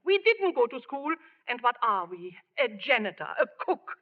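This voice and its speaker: A woman's voice: fairly high pitch, sing-song, moderate pace. A woman playing a stern, hard-working immigrant mother in a 1940s radio drama, scolding and pleading with emphasis. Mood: pleading, insistent. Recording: dull old radio broadcast recording.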